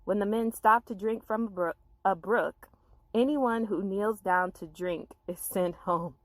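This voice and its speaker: Woman, storyteller, medium pitch, expressive dramatized narration, informal asides, dry recording. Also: unhurried, no room sound.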